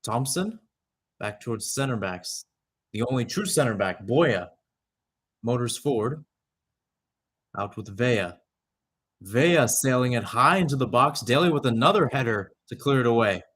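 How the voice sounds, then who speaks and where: A man's voice: medium pitch, lively intonation, a little slow, long pauses, fairly bright tone, husky. A young man giving live radio-style soccer commentary, mixing clipped calls of the play with conversational asides. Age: young adult.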